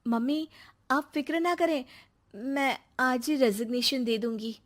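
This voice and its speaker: A sweet young daughter-in-law voiced by a female narrator in a cartoon family tale. She is expressive and meek, with a high pitch. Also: measured pace. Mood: meek, reassuring. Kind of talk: animated moral story voice acting.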